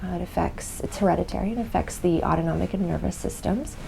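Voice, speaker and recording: A woman explaining a rare condition in an interview answer, pausing with fillers as she goes. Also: on the low side, creaky, warm tone, slight background noise, poor audio.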